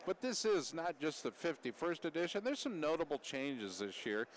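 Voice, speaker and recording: An American man hosting a live sports radio broadcast, speaking in halting, pause-filled phrases. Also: a little high, sing-song. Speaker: radio host and play-by-play broadcaster. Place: indoor sports arena. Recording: noisy arena ambience.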